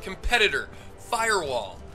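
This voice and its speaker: A man co-commentating a fighting game stream, joking and animated. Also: high-pitched, normal speed. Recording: loud game music behind the voice.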